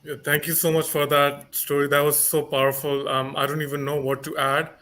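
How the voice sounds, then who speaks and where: A man moderating a panel discussion, speaking hesitantly with many filler pauses. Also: fairly high pitch, flat delivery, pushed and bright.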